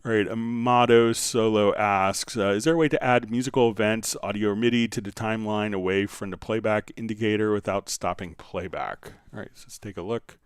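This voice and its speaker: Guy with a lowish clean voice doing a casual livestream tutorial, thinks out loud, dry close sound. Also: a little fast, few pauses, clean tone, no echo. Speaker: host of a music software live stream. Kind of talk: casual live tutorial, answering viewer questions.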